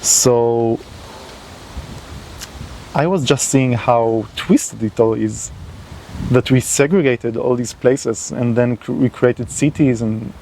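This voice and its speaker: Slow, hesitant man with a mellow voice. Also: mid-range voice, gravelly, a little hiss or hum, rough recording. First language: not English. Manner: slow, hesitant, with many fillers and restarts. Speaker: channel host. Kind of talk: unscripted solo talk to camera.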